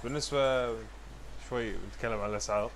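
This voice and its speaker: A man's voice: mid-range voice, quick pace. A man in his thirties with a Kuwaiti Arabic accent, interviewing casually with short questions and agreeing interjections. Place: pet shop with glass animal enclosures. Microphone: lapel. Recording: faint background noise.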